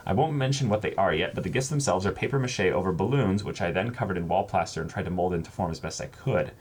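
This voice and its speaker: A man chatting casually and reading letters aloud as co-host of an unboxing, halting when he ad-libs and expressive when he reads. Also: sits low, husky. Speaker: co-host of a reaction channel. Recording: faint background noise.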